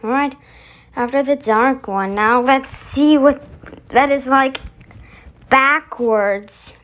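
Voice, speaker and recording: Casual female channel host, higher register, unhurried delivery, introducing voice effects. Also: a bit bright. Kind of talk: casual demo of voice effects to camera.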